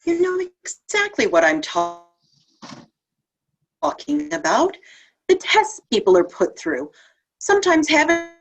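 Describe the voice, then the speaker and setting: A woman acts out an outspoken female saint in a stage play, indignant at heaven's ways. The high voice is emphatic and challenging, with very lively swings in pitch. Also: a little slow, pauses often, full character voice, rough recording. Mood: irritated. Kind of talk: virtual table read of a stage play.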